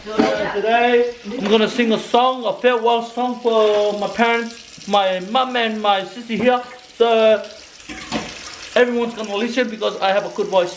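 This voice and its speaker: High-voiced Samoan man vlogging. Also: steady tone, moderate pace. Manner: playful, loose broken English. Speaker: vlog host. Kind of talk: casual vlog chat. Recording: echoey room with clear background noise.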